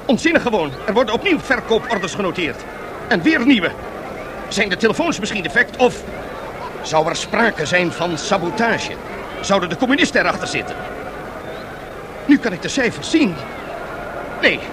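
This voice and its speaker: An actor playing an excitable, boosterish 1920s American stock-exchange radio reporter in a Dutch radio drama, theatrically addressing his listeners like a salesman. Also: sits high, normal speed, pauses often, background noise. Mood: alarmed, flustered. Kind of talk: 1970s Dutch radio drama, live broadcast commentary.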